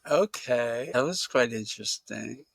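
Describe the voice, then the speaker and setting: Talk show host, unhurried delivery, casual chat, close dry sound. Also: medium pitch, gravelly, breathy edge, dry recording.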